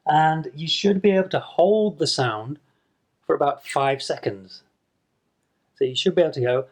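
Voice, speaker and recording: A man's voice: fairly high pitch, moderate pace. A man teaching English pronunciation to camera in a patient video lesson, demonstrating sounds and repeating them.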